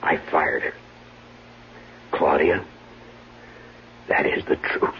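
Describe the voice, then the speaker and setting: Low-voiced, pleading, deceitful husband. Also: male voice, fairly even intonation, slow pace. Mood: insistent, pleading. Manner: earnest, dramatic, protesting. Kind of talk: 1970s radio drama acting. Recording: muffled, noisy 1970s radio broadcast.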